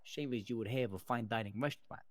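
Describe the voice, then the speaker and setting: A man's voice: mid-range voice, quick pace, no echo, natural voice. A man playing an earnest, long-winded mobster who rambles and speechifies in an improvised online game roleplay. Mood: insistent.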